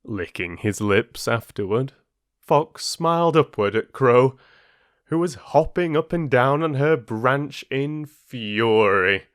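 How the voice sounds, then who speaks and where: A man reading a children's fable aloud in a slow, expressive storytelling manner. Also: medium pitch, dry recording, studio quality.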